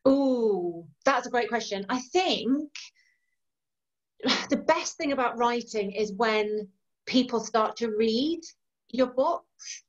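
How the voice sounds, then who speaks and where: A woman's voice: on the high side, slow pace. A woman in her forties from East Yorkshire with a mixed Yorkshire and Irish accent, an author chatting enthusiastically and laughing easily on a video call from a room of bookshelves. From East Yorkshire, England. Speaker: author being interviewed. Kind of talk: casual chat. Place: home room lined with bookshelves. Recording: dull lo-fi video call audio.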